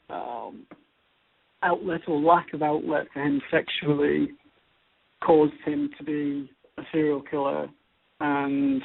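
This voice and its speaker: Husky, higher-voiced man on a tinny line. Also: no echo. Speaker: astrological reader. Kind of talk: conversational debate about astrology. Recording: tinny, band-limited radio or phone-line audio.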